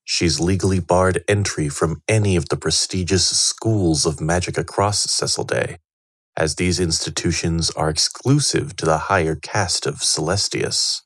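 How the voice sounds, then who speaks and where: Very deep, solemn fantasy narrator. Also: male voice, no echo, studio quality. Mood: grave. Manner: measured, solemn, portentous. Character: solemn male storyteller of a fantasy tale. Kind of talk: dramatic scripted fantasy narration. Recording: prominent music under the voice.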